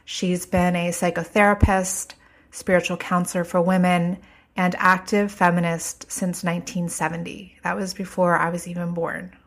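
Woman, podcast host, stuffed up from a cold, lowish voice, earnest, slows down for emphasis. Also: little pitch movement. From United States. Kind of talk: podcast intro monologue.

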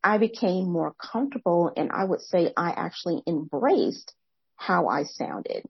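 Husky low-voiced woman podcast host. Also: no room sound, lo-fi sound. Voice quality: soft, soothing low voice. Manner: fast, excited talker who pauses to rephrase. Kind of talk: reflective interview commentary.

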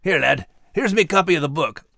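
An actor voicing a male Scottish terrier dog, gruff but tender and teasing, with a Scottish accent and folksy dialect. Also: mid-range voice, expressive, brisk, no echo, studio quality, full character voice. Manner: folksy, warm dialect talk. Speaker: podcast co-host character. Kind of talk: scripted character banter.

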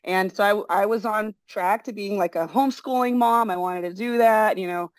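A middle-aged woman who grew up in Brazil speaks both Portuguese and English. As an interview guest she rambles, full of fillers and restarts. Her voice sits at an ordinary pitch, and the recording is dry with no room sound. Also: normal speed, no echo. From born in the United States, raised in Brazil. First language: bilingual in Portuguese and English. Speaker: interview guest, forest school founder. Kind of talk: casual interview conversation.

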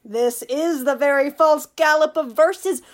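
A woman's voice: high voice, measured pace. A woman playing a witty, pompous male court fool who teases with mock logic in a Shakespearean radio play. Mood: mocking, gleeful.

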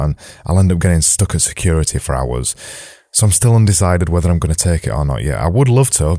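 A man with a hoarse, sore-throated voice, hosting a solo podcast in a candid, rambling way. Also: low voice, warm tone, no room sound, clean studio sound. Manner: rambling, candid, self-deprecating. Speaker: podcast host. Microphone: boom-mounted studio mic.

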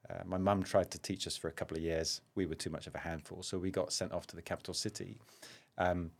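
A middle-aged man with a slight London accent and a very deep voice. He talks as a guest in an unhurried interview, telling long anecdotes with easy self-deprecating humour and plenty of ums. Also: level tone, vocal fry, slightly rough. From Zaire and England. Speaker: guest, vascular surgeon. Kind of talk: relaxed conversational storytelling.

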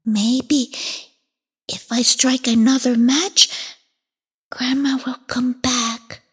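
A woman voicing a poor little girl selling matches, in a high, soft, pleading childlike voice. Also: little pitch movement, extremely slow, husky, heavily acted. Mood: hopeful.

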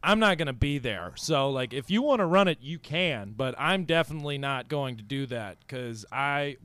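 A comedian chatting casually, with lots of stammered restarts and quick agreement. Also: male voice, mid-range voice, lively intonation, fairly bright tone, dry recording. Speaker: comedian, co-host. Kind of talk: casual chat. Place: podcast studio.

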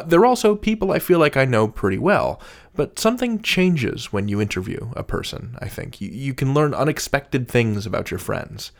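Animated man hosting a podcast. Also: medium pitch. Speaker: podcast host and interviewer. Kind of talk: hosting an interview, conversational.

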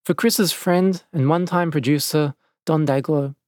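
A man reading scripted documentary narration as a measured, dramatic storyteller. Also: fairly high pitch, fairly even intonation, darkish tone, dry recording, clean studio sound. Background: faint music.

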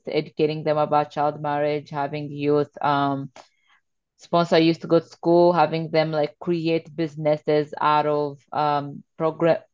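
A woman's voice: low-pitched, darkish tone, dry recording, highs cut off. A young woman from Congo, raised in Uganda, earnestly answering interview questions in an informal way. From Democratic Republic of Congo, raised in Uganda. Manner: earnest, informal with fillers like "kinda" and "like". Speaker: guest, nonprofit founder.

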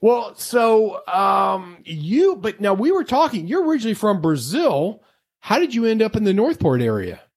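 A man's voice: high-pitched, very animated, moderate pace, husky. An American man hosting a casual interview in a chatty, folksy way, rambling into asides. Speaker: interview host.

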